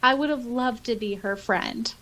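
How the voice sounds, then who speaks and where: A woman's voice: a little high, moderate pace, fairly bright tone, low-quality recording. A woman co-hosting a podcast, chatting casually and gushing with enthusiasm over listener comments and favorite stories. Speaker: podcast co-host. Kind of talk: casual chat, reading and reacting to listener comments.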